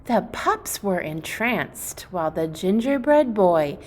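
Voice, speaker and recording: Slow, animated woman reading to children. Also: mid-range voice. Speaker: read-aloud storyteller for children. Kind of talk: expressive children's storybook reading.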